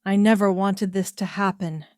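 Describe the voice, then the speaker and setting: A woman voicing a royal brother lamenting that he must become king, in a smooth, clear voice. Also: medium pitch, steady tone, moderate pace, a little mellow, dry recording, studio quality, close to an everyday voice. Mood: distressed. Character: distressed young royal brother, unwilling new king.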